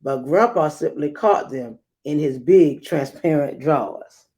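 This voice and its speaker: A woman reading a rhyming children's picture book aloud, acting it out with theatrical emphasis and dramatic pauses. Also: deep voice, warm tone. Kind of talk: expressive rhyming storybook read-aloud.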